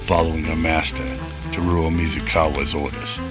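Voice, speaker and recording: A man's voice: extremely low pitch, flat delivery, moderate pace, over music. A man narrating a scripted sci-fi radio drama.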